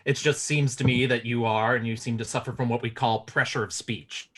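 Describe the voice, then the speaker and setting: A man playing a pompous professor of psychiatry, measured and needling, in a comedy table read. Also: mid-range voice, steady tone, lightly acted. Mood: clinical. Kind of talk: live table read of a comic film script.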